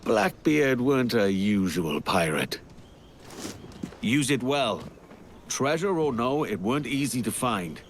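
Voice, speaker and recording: A man's voice: medium pitch, big pitch swings, slow pace, slight background noise. An actor playing a brash, wry pirate captain in a game cutscene, blunt and colloquial in his seafaring speech. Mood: calm, blunt. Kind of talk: dramatic game cutscene acting.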